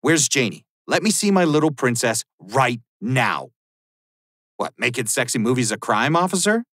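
An actor playing an arrogant, sleazy middle-aged American rich criminal, blustering and evasive in video game lines. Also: male voice, mid-range voice, sing-song, normal speed, a bit bright, dry recording, studio quality. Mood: indignant, pleading. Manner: foul-mouthed, blustering. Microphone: close studio mic, dry.

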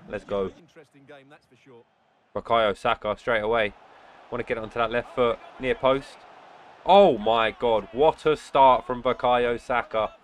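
Nasal young man commentating a football game. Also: fairly low pitch. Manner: excitable outbursts during play, muttering between. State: nasal from a cold. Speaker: gaming channel host. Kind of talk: casual gameplay commentary. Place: indoor room at home.

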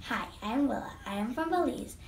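A woman's voice: a little high, lively intonation, brisk, creaky, loud music behind the voice. A nine-year-old girl giving a bubbly self-introduction as a contestant in a classroom. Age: child, nine. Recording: echoey classroom.

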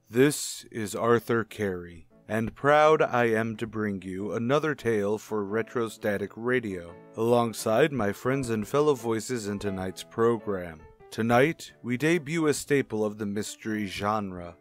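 Slow, formal male radio announcer. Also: on the low side. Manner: formal, measured, portentous. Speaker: host, announcer. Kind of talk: old-time radio show introduction.

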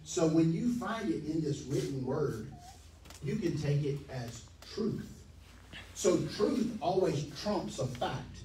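Animated preacher man in an echoey hall. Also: fairly high pitch, slow, recorded from a distance, a little hiss or hum. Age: 40s. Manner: animated, emphatic, pacing the stage. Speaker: pastor. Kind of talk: sermon. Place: church hall, medium-sized, indoors. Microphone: handheld mic.

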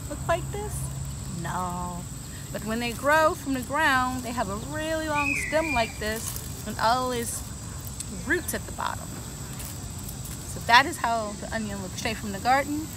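A woman in her thirties with a somewhat high voice reads a picture book to young children, then walks them through a vegetable garden. She keeps asking them questions in a friendly teaching way. She was recorded outdoors by a camera a few feet away, with a steady hum of insects behind her. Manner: lively, talks straight to kids with questions. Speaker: garden educator reading to children. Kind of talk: children's story time read-aloud and garden tour. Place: outdoor garden, seated on a bench then walking among plants. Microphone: camera a few feet away, no visible mic.

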